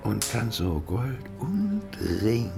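Gravelly, sly scheming dwarf. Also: male voice, medium pitch, big pitch swings, heavily acted. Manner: conspiratorial, drawn-out muttering. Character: sly, murderous male dwarf plotting for gold. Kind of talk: fantasy radio play acting. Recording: studio radio play with music underneath.

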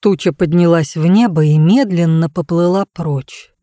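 A woman narrating a fairy tale as an audiobook reader, in an expressive scripted style. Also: lowish voice, normal speed, no room sound, studio quality.

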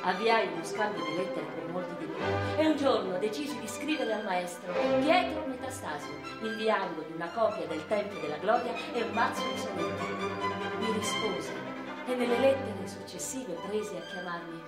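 A woman playing a passionate eighteenth-century noblewoman poet in a theatrical first-person monologue, with deliberate, expressive phrasing. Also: medium pitch, natural voice. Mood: tender, happy. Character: passionate 18th-century noblewoman poet recalling her life. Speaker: stage actress performing a radio theatre monologue. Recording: echoey room sound with music behind.

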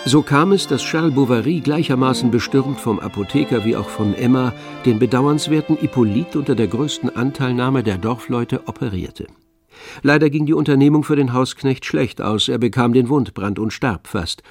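Male radio drama narrator, medium pitch, scripted literary prose over faint music. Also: normal speed. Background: faint instrumental music.

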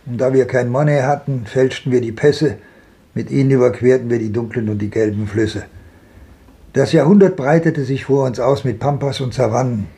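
Radio play casting: male actor as old wanderer, world-weary, dark mellow tone, medium pitch, lyrical declamation. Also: moderate pace, natural voice. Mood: grave, reminiscing. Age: older. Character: world-weary old wanderer looking back on a hard life. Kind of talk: 1950s German radio drama acting.

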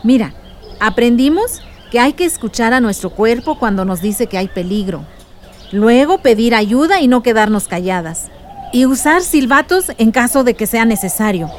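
Una actriz de radionovela que interpreta a una tía resuelta que cuenta y explica sus vivencias a su sobrina. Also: female voice, fairly high pitch, lively intonation, moderate pace, a little hiss or hum, lightly acted. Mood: earnest, instructive. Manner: storytelling, explanatory. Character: aunt recounting past dangers to her niece, warm, resolute. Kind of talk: radio drama acting.

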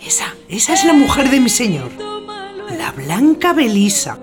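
A woman plays a bossy, scheming housemaid in a radio play. Her fairly high voice moves in lively swoops, coaxing and insisting without letting up. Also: moderate pace, over music. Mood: coaxing, urging. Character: bossy, persistent, scheming housemaid of an old gentleman. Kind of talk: theatrical audio drama.

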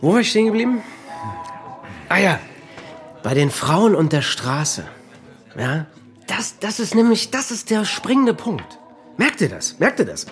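An actor playing a drunk, sentimental family man in a German radio play, talking in halting, repetitive phrases. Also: high-pitched, big pitch swings, soft background music. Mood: drunken, insistent.

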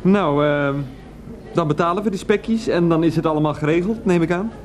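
A man's voice: on the high side, a little fast, slight background noise, close to an everyday voice. An actor playing an evasive husband in a radio drama, hesitant and placating, padding his sentences with verbal tags. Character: evasive, bumbling husband fond of port.

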